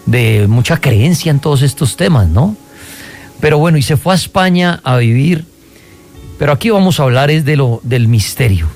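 A man's voice: medium pitch, animated, normal speed, a little mellow. Colloquial male radio interviewer, music behind. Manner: colloquial, rhetorical questions.

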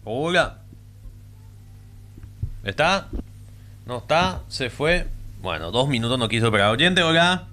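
A man's voice: mid-range voice, sing-song, slow pace, pauses often, pushed and bright, a little hiss or hum. A man hosting a radio show, easygoing as he takes callers. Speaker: radio host. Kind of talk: casual radio talk.

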